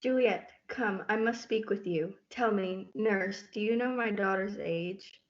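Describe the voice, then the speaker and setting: A woman's voice: medium pitch, measured pace, lightly acted. A female student performer playing a proper noblewoman and persuasive mother in a reader's theatre play. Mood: formal, persuasive. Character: proper noble mother urging her young daughter to marry. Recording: muffled lo-fi audio, noticeable noise.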